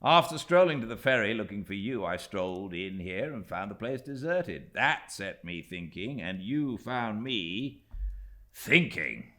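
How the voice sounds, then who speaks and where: A breezy, self-assured young British gentleman from a classic novel, as a male narrator plays him. The voice is bright and forceful, swinging widely in pitch, with an airy, jocular confidence. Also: expressive, a little slow. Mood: restless, dismissive. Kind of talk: dramatised classic audiobook dialogue.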